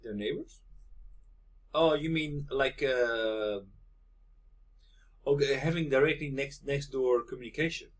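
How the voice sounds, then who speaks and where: A man talks casually as an interview guest, explaining things in a halting way. His voice is husky and sits at an average pitch in his English. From Netherlands. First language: Dutch. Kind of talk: casual conversation.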